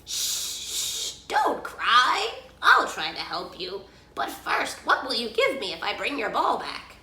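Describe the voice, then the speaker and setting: A woman's voice: on the high side, wildly swooping pitch, slow pace, pushed and bright, echoey room, exaggerated voice. A woman voicing a pleading, insistent male talking frog in a storybook fairy tale, drawing out the words. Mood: coaxing, soothing. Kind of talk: storybook character read aloud.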